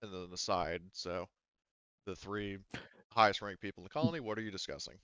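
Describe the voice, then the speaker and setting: A man's voice: low voice, fairly quick, fairly bright tone, smooth, no echo, lo-fi sound. A man acting as game master, narrating a tabletop roleplaying game in a casual, hesitant way.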